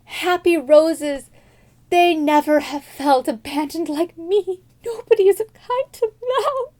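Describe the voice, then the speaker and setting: A woman's voice: very high voice, takes it slowly, a little mellow, pitched above the performer's own voice. A woman voicing a lovesick, whiny young princess in a melodramatic, wailing way for a fairy tale. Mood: tearful wailing.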